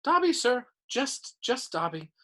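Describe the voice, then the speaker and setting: A woman reading a story aloud and voicing a small, earnest male house elf in a high-pitched voice, humble and over-polite, calling everyone sir. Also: big pitch swings, a little slow, sharp tone, heavily acted.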